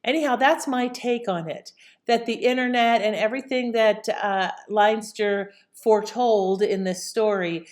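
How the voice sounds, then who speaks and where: A woman host giving casual, reflective opinion commentary in a conversational way. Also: mid-range voice.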